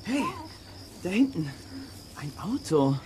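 A high, lively teenage boy. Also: slow pace, heavily acted. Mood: watchful. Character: plucky, wry teenage boy detective. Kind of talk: German children's radio play acting. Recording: clear background noise.

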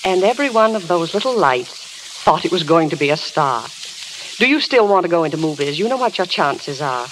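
Low-voiced, world-weary office clerk. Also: female voice, big pitch swings, brisk. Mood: matter-of-fact, sobering. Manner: matter-of-fact, discouraging. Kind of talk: 1930s radio acting. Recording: noisy, crackly old radio recording.

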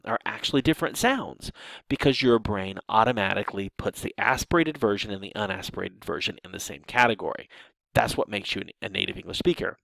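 A man's voice: lowish voice, gravelly, a bit bright, dry recording, low-quality recording. A man teaching a linguistics course in a recorded lecture over slides, explaining patiently with frequent restarts and fillers. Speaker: linguistics instructor.

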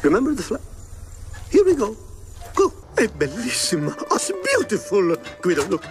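An excitable little Italian car. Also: male voice, sits high, normal speed, over music. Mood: excited. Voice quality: gushing, effusive.

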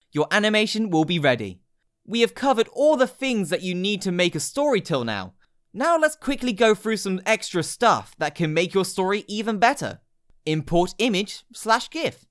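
A woman narrates a scripted how-to guide with a lively, moving pitch. She speaks in short instructional steps, laying out each direction plainly. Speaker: tutorial narrator. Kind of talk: scripted step-by-step app tutorial narration.

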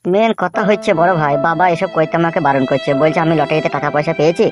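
A man's voice: fairly high pitch, quick pace, highs cut off, over music, lightly acted. A man playing a deferential, complaining young gang henchman in a comedy cartoon.

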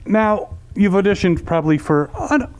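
A man's voice: high voice, sing-song, moderate pace, darkish tone, smooth. A middle-aged man asking conversational interview questions into a handheld microphone through a face mask. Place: indoor lounge at a convention hotel. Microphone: handheld interview microphone, speaking through a face mask.

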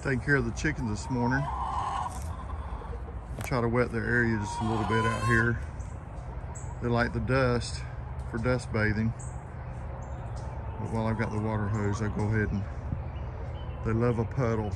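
A man's voice: lowish voice, flat delivery, measured pace, long pauses. A man with a Southern US accent casually narrating his backyard chores in a folksy, plainspoken way while outdoors in a garden. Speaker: homesteading vlogger. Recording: outdoor background noise.

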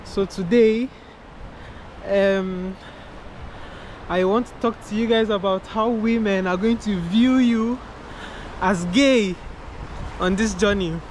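A man's voice: high-pitched, slow pace, long pauses. A young man with a light, feminine-sounding voice, vlogging in a loose, repetitive way and calling the viewer "man" and "bro", recorded outdoors. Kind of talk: casual to-camera talk. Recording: outdoor recording with clear traffic noise. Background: passing cars.

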